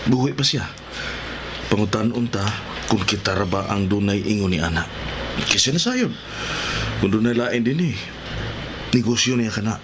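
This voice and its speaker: A man playing a cautious, reasoning husband and father in a radio drama, measured and urging restraint. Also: lowish voice, natural voice. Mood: cautious, determined. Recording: noisy background ambience.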